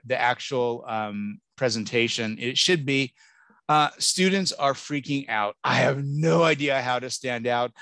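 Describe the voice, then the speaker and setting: A man's voice: medium pitch, a bit bright, no room sound. Chatty reassuring man on a video call. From Southern California. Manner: chatty, reassuring, jokey, acts out quoted voices. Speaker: college admissions speaker. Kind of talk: presentation to parents over a video call. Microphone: computer mic on a video call.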